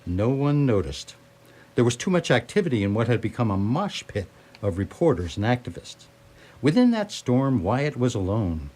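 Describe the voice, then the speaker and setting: A man's voice: mid-range voice, very animated, moderate pace, darkish tone. A man reading scripted story narration for radio theatre, with a wry, literary storytelling manner. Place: live radio theatre performance.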